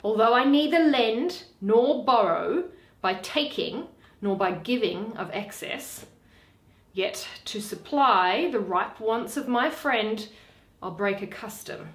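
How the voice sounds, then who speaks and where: A proud, scornful Venetian merchant, played by a woman reading Shakespeare. The delivery is stern and declamatory, unhurried and weighty. The sound is roomy, with noticeable echo. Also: on the high side, lively intonation.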